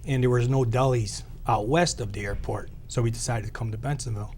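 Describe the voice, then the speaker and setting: A man's voice: mid-range voice, steady tone, brisk, a little mellow, slight background noise. A man in his late forties, born in Italy, talking as a deli owner in a local interview, plain-spoken with many fillers. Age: 40s.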